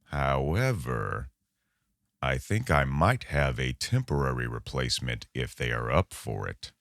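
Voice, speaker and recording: Figure: menacing boss, acted by a man. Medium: audio drama. Pitch: extremely low. Pace: slow. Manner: condescending, deliberate. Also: animated, gravelly, a bit bright, dry recording, clean studio sound, exaggerated voice. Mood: falsely friendly. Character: menacing, smooth-talking boss recruiting with veiled threats.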